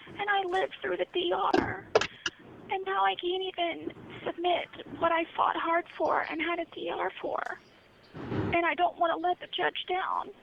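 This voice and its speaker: A woman's voice: very high voice, gravelly. Whiny woman on a noisy jail phone. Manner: whiny, distressed pleading. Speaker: jail inmate on a phone call. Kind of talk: tearful phone call. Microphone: prison phone. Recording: dull, noisy phone line.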